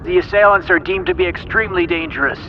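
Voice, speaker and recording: An actor playing a stiff, official police chief giving a deliberate press statement. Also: male voice, sits high, faint music behind, natural voice. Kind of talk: scripted press-conference statement. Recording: dull sound, outdoor ambient noise.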